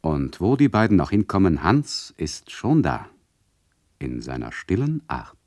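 An actor playing an ironic, self-important narrator in a satirical radio play, addressing the listeners directly. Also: male voice, low voice, huge pitch swings, unhurried, darkish tone, exaggerated voice. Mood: dryly ironic. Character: smug meddling male radio narrator, easily terrified.

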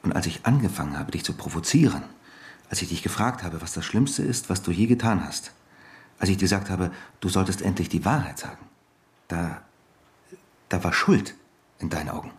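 Actor as a deep-voiced wounded husband. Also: male voice, normal speed, lightly acted. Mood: bitter, accusing. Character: wounded, bitter husband returned after years away. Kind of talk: naturalistic radio drama dialogue.

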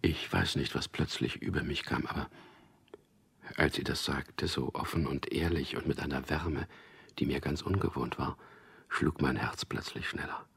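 An actor playing an earnest young suitor who narrates a radio drama in an intimate, measured, husky voice. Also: male voice, a bit bright, full character voice. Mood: reflective narration. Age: young adult. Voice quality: low, gravelly, husky. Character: young propaganda clerk Peter, narrator and tender suitor. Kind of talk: radio drama acting with first-person narration.

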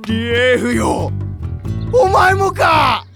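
A melodramatic teenage volleyball boy for an anime audio drama. His high, gravelly voice swings wildly, with bombastic delivery over prominent music. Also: expressive, extremely slow, full character voice. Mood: bombastic, indignant.

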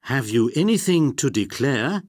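Slow, husky man reading lesson phrases. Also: mid-range voice, big pitch swings, no room sound, studio quality. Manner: slow, deliberate, carefully spoken phrases. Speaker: language course speaker. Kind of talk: scripted language-course reading.

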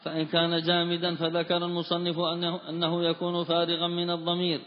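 Guy reciting grammar text, flat sing-song, muffled old recording. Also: a little high, fast. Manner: reads in a steady chanting cadence. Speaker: student reading the text aloud. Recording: dull lo-fi recording with long hall reverb.

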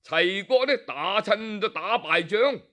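Man, Hong Kong Cantonese accent, traditional storyteller, bright tone, very expressive pitch, dramatic pauses. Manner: animated and dramatic, with interjections and pauses.

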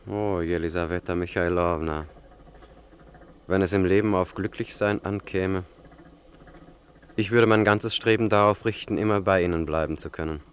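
An actor playing a courteous, brooding young student revolutionary in a German radio play, speaking in measured, formal phrases. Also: male voice, deep voice, fairly even intonation, a little hiss or hum, close to an everyday voice. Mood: courteous, earnest.

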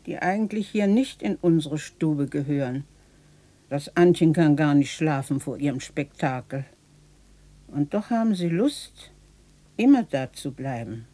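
A woman's voice: on the low side, dark tone. An actress playing an old widowed townswoman telling of her stubborn husband in a folksy, vivid way in a German radio play. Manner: folksy, unhurried, vivid oral storytelling.

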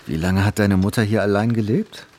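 A man playing a caring, worried companion in a naturalistic radio drama, speaking in a measured, gently concerned way. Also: lowish voice, steady tone, lightly acted. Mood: curious, gentle. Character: Max, worried, caring male companion of an actress. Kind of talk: naturalistic German radio play acting.

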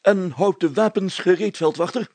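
A man's voice: high-pitched, moderate pace, darkish tone, dry recording, pitched above the performer's own voice. A man voicing a pompous, officious and fussy village mayor in a storybook reading, speaking stiffly and formally with flustered hesitations. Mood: officious, commanding.

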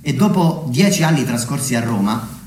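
A man delivering a theatrical narrative monologue, deliberately, with dramatic pauses and emphasis. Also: medium pitch, lively intonation, darkish tone. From Umbria, Italy. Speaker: solo theatre storyteller. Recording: roomy echo with background noise.

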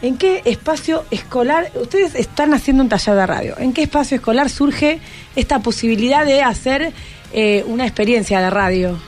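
A woman's voice: sits high, animated, moderate pace. A woman with a Buenos Aires accent hosting a radio show, interviewing casually and encouraging people to speak. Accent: Argentine, Buenos Aires. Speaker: radio host. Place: radio studio. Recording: music playing behind the voice.

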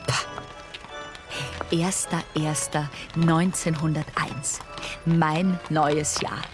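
Resolute young woman, slow and lowish. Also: little pitch movement, music in the background. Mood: resolute, dismissive. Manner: dismissive, determined. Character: resolute young woman declaring her independence. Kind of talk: German radio drama monologue.

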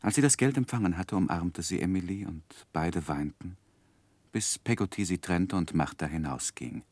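An actor playing a grown man recalling his life, narrating a 1960s German radio drama in a measured, reflective, wistful way. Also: low-pitched, expressive, darkish tone, no room sound.

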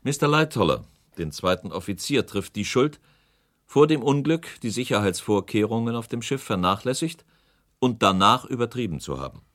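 An actor playing a grave inquiry speaker in a documentary radio drama, with a formal, accusatory delivery. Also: male voice, medium pitch, expressive, moderate pace, a bit bright, close to an everyday voice. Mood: formal, accusing. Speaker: presiding narrator.

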